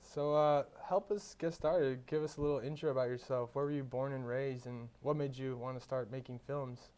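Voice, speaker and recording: A man's voice: mid-range voice, fairly even intonation, normal speed, a little mellow. A young man hosting a student show, reading upbeat scripted links and interviewing a guest. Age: young adult. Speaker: student host and presenter.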